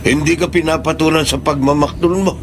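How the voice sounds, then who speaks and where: An older man playing an elderly father who gently scolds his grown daughter in a Tagalog radio drama, expressive and creaky. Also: fairly high pitch, a little fast. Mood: admonishing. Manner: admonishing, expressive. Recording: noisy background ambience.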